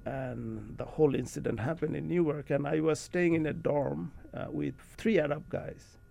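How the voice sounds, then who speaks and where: A man's voice: a little high, moderate pace, creaky, warm tone. A middle-aged man with a Bangladeshi accent, chattily telling personal anecdotes in an interview and often adding "you know". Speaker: interview guest, academic researcher. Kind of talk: casual storytelling in an interview.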